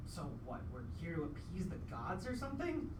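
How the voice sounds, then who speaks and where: A woman's voice: on the low side, wildly swooping pitch, moderate pace, background noise. A woman playing a skeptical, pouting young child in a live radio play. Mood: whiny, reluctant. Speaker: stage actor. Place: live theatre performance in a venue. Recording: echoey distant live stage recording with music.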